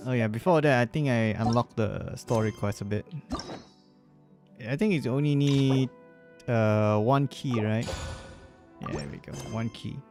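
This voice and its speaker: A man's voice: medium pitch, measured pace, pauses often, darkish tone, soft background music. A man doing casual livestream commentary over a game, rambling and repeating himself, often chuckling between phrases. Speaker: live streamer.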